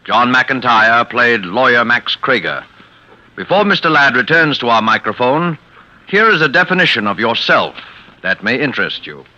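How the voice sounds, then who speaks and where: A man announcing in scripted 1940s radio style, measured, with dramatic pauses. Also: medium pitch, expressive, sharp tone. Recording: muffled vintage radio with music behind.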